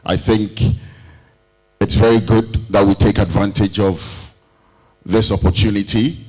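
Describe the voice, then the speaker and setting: Man, lowish voice, very slow, repetitive, moderator. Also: fairly even intonation, a little mellow, low-quality recording. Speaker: session moderator. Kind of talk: hosting an online session.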